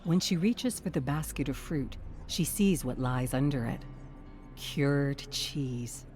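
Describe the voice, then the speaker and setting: A narrator with a mid-range voice delivers dramatic scripted storytelling. The reading is measured and suspenseful, with faint music underneath. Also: medium pitch, expressive, a little mellow.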